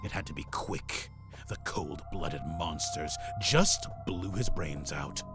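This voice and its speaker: An actor playing a grave, mysterious ex-criminal informant and desperate father in an adventure game, speaking cryptically and urgently, with phrases that drop toward a hush. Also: a bass voice, slow, full character voice. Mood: solemn, warning. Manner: cryptic, urgent, dramatic delivery with hushed line endings. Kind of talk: dramatic point-and-click adventure game voice acting. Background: music.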